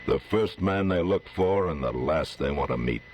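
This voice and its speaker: An actor playing a lonely, watchful frontier marshal in a 1950s radio drama, speaking slowly and solemnly. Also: male voice, animated, a little mellow, slight background noise. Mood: grave. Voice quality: deep, gravelly, slow and solemn. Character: lone watchful frontier lawman, grown man.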